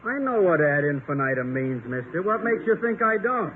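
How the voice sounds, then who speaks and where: A man's voice: fairly high pitch, very animated, normal speed, faint music behind. An actor playing a prickly, pedantic policeman in 1950s radio comedy, speaking formally and fussily, easily affronted. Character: prickly, pedantic policeman proud of his learning. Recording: tinny, noisy 1950 radio recording.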